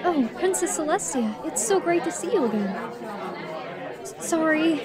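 A woman's voice: extremely high pitch, lively intonation, normal speed, over a noisy crowd. A woman voicing a sweet, anxious young female pony in a cartoon drama, timid and earnest. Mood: flustered. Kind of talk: animated cartoon audio drama.

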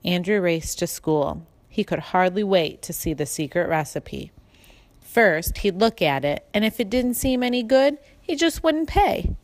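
A woman's voice: medium pitch, expressive, measured pace, no room sound. A woman narrating a children's storybook from a script, as an audiobook reader.

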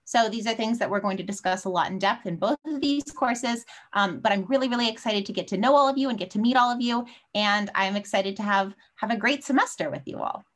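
A young woman with a mid-pitched voice introduces herself informally to students. She is a teaching assistant and sounds chatty and upbeat. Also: brisk, hardly any pauses. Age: young adult. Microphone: video call.

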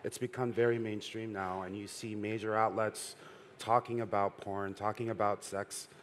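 A middle-aged man on a conference panel, speaking in a measured, businesslike way. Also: fairly low pitch, little pitch movement, slight background noise. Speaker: panelist, VR adult company. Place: stage at a conference hall.

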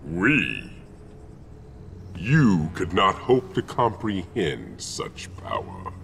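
An actor playing an arrogant, menacing titan supervillain in a video game, with a gravelly voice and pompous, disdainful formal speech. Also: male voice, sits low, wildly swooping pitch, extremely slow, a bit bright, a little hiss or hum, exaggerated voice. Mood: haughty. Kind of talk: video game villain acting.

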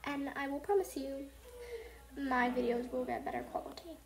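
A young woman hosting a casual gameplay video, talking softly and half-whispered, rambling and apologizing, recorded at home. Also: sits high, moderate pace, gravelly. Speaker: gaming channel host. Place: home with family noise.

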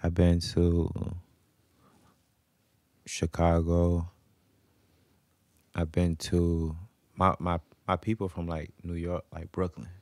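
Podcast guest, young man. Low voice, dry close-mic sound, loose slangy delivery that circles back on itself. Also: completely flat delivery, slow pace, pauses often, darkish tone, no room sound. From Virginia, US. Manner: rambling, repeats himself, slangy. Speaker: podcast guest, recording artist. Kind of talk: casual chat. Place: small podcast room with couches.

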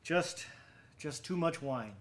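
Uneasy, slow-spoken man. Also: a little high, animated, a bit bright. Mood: frightened, self-soothing. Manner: halting, muttering self-reassurance. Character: uneasy, brooding man haunted by shipwreck tales. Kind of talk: radio drama acting.

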